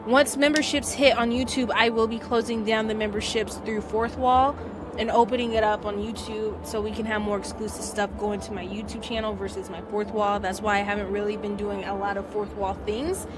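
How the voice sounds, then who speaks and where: A woman's voice: mid-range voice, little pitch movement, fairly quick, over music. A woman live streamer chatting casually and slangily to her viewers.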